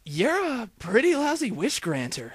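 A man's voice: very high voice, wildly swooping pitch, a little slow, a crowd in the background, heavily acted. A man voicing folksy, theatrical small-town men with a Southern US accent in a parody cartoon dub. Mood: rueful storytelling.

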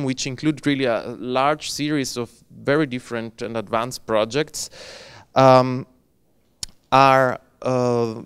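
Slow, hesitant man introducing a speaker. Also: mid-range voice, clean tone, no echo. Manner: careful, hesitant, many "uh"s. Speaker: conference host introducing speaker. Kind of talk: formal introduction read in English. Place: lecture hall. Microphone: handheld mic.

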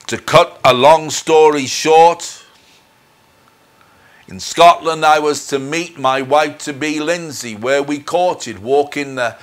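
Male minister, middle-aged, slightly high-pitched voice, very unhurried pace, earnest testimony, deliberate phrasing. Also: a bit bright. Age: middle-aged or older. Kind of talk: personal testimony sermon. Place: indoors in front of a dark curtain. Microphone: lapel mic.

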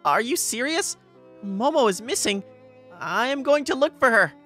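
A woman's voice: fairly high pitch, big pitch swings, normal speed, a bit bright, soft background music. A woman voicing an earnest, easily flustered teenage boy in a manga dub, narrating and speaking expressively. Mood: flustered, exclaiming. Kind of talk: manga dub dialogue and first-person narration.

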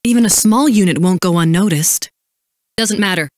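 An actress playing a composed, dutiful woman military supply officer in a video game, giving crisp, formal, commanding briefings and callouts. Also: medium pitch, moderate pace, no echo, clean studio sound, lightly acted. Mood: grave, restrained.

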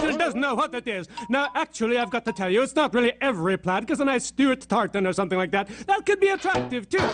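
An actor playing a grumpy Swedish man who rants loudly, in a thick Swedish accent with exasperated, sing-song complaints. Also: very high-pitched, background noise.

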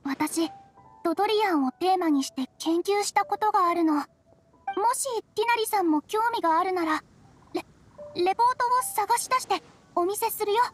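A woman's voice: very high voice, normal speed, faint music behind. A woman voicing a timid, earnest young female researcher in an anime video game, polite and a little hesitant. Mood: eager, polite.

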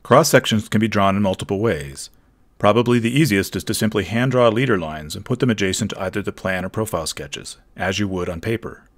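A man giving a steady, methodical, scripted narration for a software tutorial. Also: low voice.

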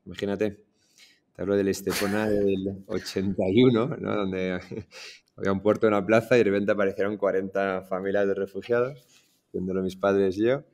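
Deep, flat-voiced man with a Madrid accent. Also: moderate pace. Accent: Madrid Spanish. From Iran, raised in Madrid. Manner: relaxed, many restarts and fillers. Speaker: startup founder guest. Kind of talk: casual interview storytelling. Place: in front of a green screen, indoors.

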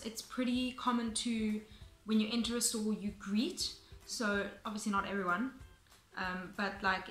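Casual South African woman in her twenties, crisp bright voice, talking to camera in an echoey room. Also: mid-range voice. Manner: chatty, explanatory, frequent ums and restarts. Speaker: vlogger. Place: bright room indoors, seated on sofa.

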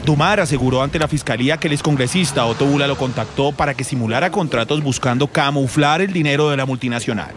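A man narrates a TV news report in voice-over. Clear noise runs behind his voice. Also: mid-range voice, fast, few pauses.

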